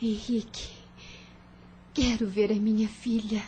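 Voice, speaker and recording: A woman playing a gentle, naive young wife and mother in an audio drama, with a soft breathy voice. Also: mid-range voice, little pitch movement, takes it slowly. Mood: frail.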